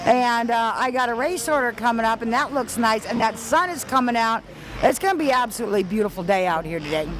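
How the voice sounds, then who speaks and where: A woman's voice: a little high, lively intonation, quick pace. A woman commentating live at an outdoor motocross track, chatty and upbeat as she reads out the race order. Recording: loud outdoor noise.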